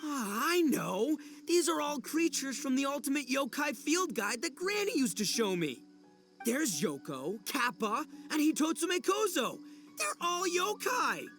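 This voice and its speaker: A man's voice: very high voice, very animated, a little slow, a bit bright, faint music behind, exaggerated voice. A man voicing a brash, boastful but good-hearted young oni in a video game, goofy and slangy. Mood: musing, boastful.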